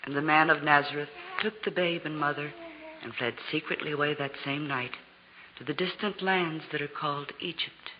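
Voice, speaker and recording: A woman's voice: deep voice, moderate pace, close to an everyday voice. A noisy, echoing old radio recording carries a woman acting a village mother. She speaks in formal biblical phrases, as if telling a tale, through a muffled sound. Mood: grave. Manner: formal, storytelling biblical speech. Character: gentle village mother of Bethlehem with young child. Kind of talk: 1940s radio drama acting.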